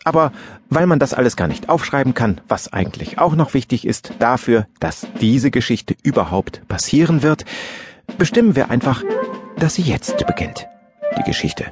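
Animated male children's storyteller over music. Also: medium pitch, moderate pace. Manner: playful, expressive storyteller with musing asides. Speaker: audiobook narrator. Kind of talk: scripted narration of a children's story.

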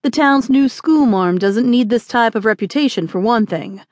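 A woman's voice: a little high, expressive, moderate pace, a little mellow, dry recording, clean studio sound, lightly acted. A woman voicing a stern, commanding male frontier marshal in audiobook dialogue, firm and irritable. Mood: scolding.

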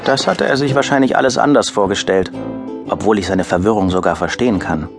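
A low, creaky-voiced man as a wry filmmaker narrator. He tells his story with dry irony, in scripted radio play style, with music underneath. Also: fairly quick. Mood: ironic.

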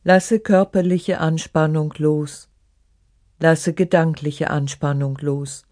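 A meditation guide reading a scripted relaxation in short, measured, instructive phrases. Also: mid-range voice, fairly even intonation, a little mellow, no room sound, clean studio sound. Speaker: yoga nidra meditation guide.

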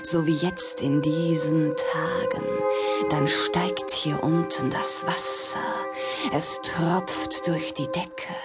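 Slow, cryptic giant snake. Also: female voice, on the low side. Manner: measured, cryptic, breathy pauses. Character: sly, enigmatic giant female snake, slow and commanding. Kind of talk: children's audio drama acting. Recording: loud music bed behind voice.

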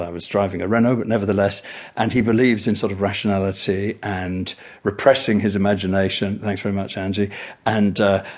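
A man's voice: on the low side, breathy edge. A middle-aged or older man, reminiscing in anecdotes as a documentary interviewee.

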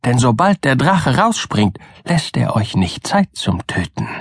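A man's voice: mid-range voice, very animated, measured pace, breathy edge, no room sound, clean studio sound, full character voice. A man voicing a tiny, friendly, magical little man from a storybook, cheerful and lilting. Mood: friendly, advising. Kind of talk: storybook character voice.

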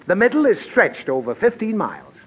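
A man's voice: high-pitched, very animated, a little fast. An actor playing an exasperated father who blusters and lectures his child, in 1940s radio comedy acting. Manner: blustering, lecturing, easily flustered. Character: exasperated middle-aged father. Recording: dull old radio broadcast, faint hiss.

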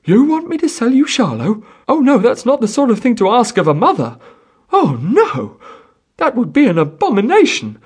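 A man's voice: very high voice, wildly swooping pitch, takes it slowly, husky, pitched above the performer's own voice, heavily acted. A man voicing a proud, blunt peasant mother who scolds fiercely and with heavy emphasis. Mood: furious.

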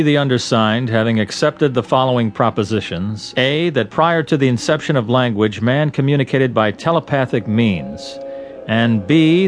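A man's voice: mid-range voice, lightly acted. A man playing a formal, zealous professor in a radio drama, speaking emphatically and declaiming. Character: formal, zealous male professor leading a pact.

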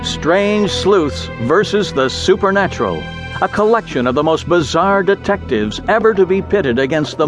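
Theatrical male announcer over music, slow delivery. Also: fairly high pitch. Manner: theatrical, emphatic. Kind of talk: dramatic scripted promo narration.

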